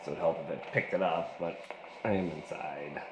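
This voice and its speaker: Very deep-voiced man, casual gadget reviewer. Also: fairly even intonation, moderate pace. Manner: rambling, colloquial, folksy slang. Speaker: product reviewer. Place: indoors. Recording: echoey room with loud noise.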